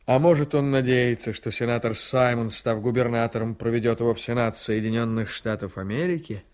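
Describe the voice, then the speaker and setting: A male actor as a sardonic man in a radio drama. He has a medium-pitched voice and reads aloud deliberately, weighing phrases with irony. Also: steady tone, darkish tone, smooth, natural voice. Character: sardonic man scrutinising a hostile newspaper editorial. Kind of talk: Soviet radio drama acting, reading aloud.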